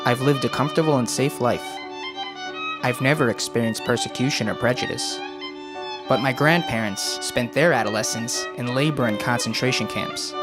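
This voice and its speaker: A young man narrating a documentary in a measured, earnest, scripted way. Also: medium pitch. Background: violin music.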